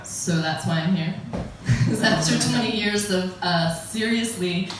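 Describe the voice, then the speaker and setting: Middle-aged new woman doing standup, wry and chatty, lowish voice, kinda far off in a super echoey room. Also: measured pace, a little hiss or hum. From United States. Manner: wry, chatty, laughs at her own jokes. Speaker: stand-up comedian. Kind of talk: stand-up comedy set.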